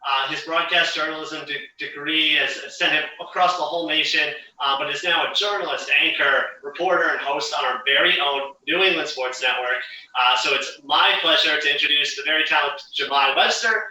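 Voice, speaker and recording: A man's voice: fairly high pitch, normal speed, bright, forceful tone, slight background noise. A man in his thirties moderating an online youth talk from his living room on a headset, upbeat with lots of fillers. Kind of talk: hosting an online interview. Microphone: headset on a video call. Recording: roomy and distant.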